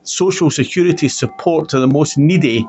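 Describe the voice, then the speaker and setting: A man's voice: a little high, normal speed, a little mellow. A man arguing in a political discussion, emphatic and indignant, repeating phrases to press his point. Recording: dull, muffled lo-fi audio.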